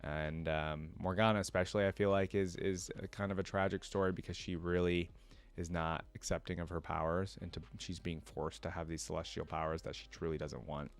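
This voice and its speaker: Lowish-voiced man doing casual reaction commentary. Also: steady tone, a little fast, smooth. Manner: informal, fan-like asides. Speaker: reaction video host. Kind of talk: casual reaction commentary, reading aloud and remarking.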